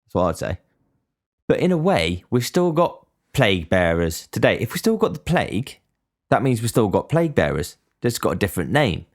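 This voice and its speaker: A man's voice: on the low side, very animated, a little fast. A young man giving a blunt, sweary casual monologue as a podcast host. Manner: blunt, swears freely, ranting.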